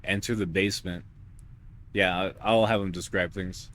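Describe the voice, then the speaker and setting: A man's voice: sits low, steady tone, moderate pace, fairly bright tone, a little hiss or hum. A man playing through a video game, reading its dialogue choices aloud. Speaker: gamer doing a playthrough.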